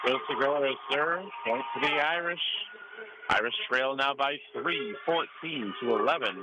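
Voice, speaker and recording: A man's voice: medium pitch, moderate pace. A man calling live volleyball play-by-play for radio in clipped short calls that rise on big plays. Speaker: sports play-by-play announcer. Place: school gymnasium. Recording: noisy live gym broadcast. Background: gym ambience, cheering student sections.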